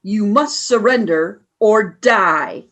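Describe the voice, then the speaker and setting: A woman playing a cold, ruthless alien commander in a radio play, speaking in measured, commanding taunts. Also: medium pitch, lively intonation, a bit bright. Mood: taunting.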